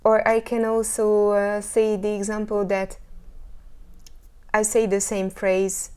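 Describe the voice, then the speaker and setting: A woman's voice: medium pitch, monotone, slow, darkish tone, clear, resonant tone. A woman with a Hungarian accent, a singer answering interview questions thoughtfully, often restarting her sentences as she searches for words. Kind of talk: casual interview talk.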